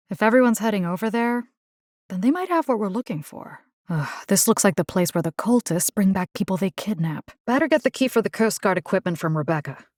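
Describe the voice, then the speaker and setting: An actress plays a young woman who fights and rescues in a video game. She is plucky and protective, a casual, sardonic way of talking. Her voice sits at a middle pitch and is recorded very dry. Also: darkish tone, no room sound, natural voice.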